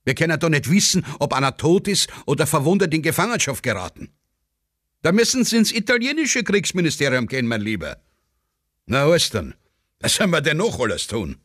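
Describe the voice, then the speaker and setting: A man's voice: on the high side, sing-song, fairly quick, fairly bright tone, no echo, studio quality, pitched above the performer's own voice. A man voicing an impatient, dismissive Austrian captain in a satirical radio play. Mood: exasperated. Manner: brusque, exasperated.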